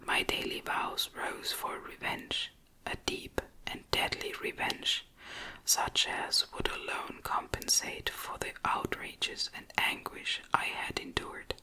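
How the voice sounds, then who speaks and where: Female whisper narrator for gothic literature: fully unvoiced, very bright, breathy, measured and gentle ASMR delivery, close-miked. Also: poor audio. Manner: gentle, measured, deliberate phrasing. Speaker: audiobook reader. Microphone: close microphone.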